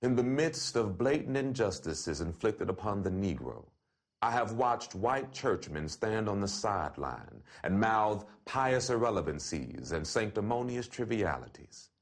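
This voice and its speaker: Guy with a fairly low voice reading a letter out loud, slow formal careful delivery. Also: sing-song. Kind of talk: reading an open letter aloud.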